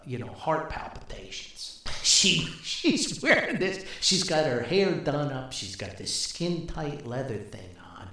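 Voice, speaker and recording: Older guy podcast host telling funny stories, folksy, gravelly creak, super echoey room. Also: a little high, fairly bright tone. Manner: folksy, chuckles often, acts out dialogue. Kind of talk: humorous anecdotal storytelling. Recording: very roomy, echoing sound.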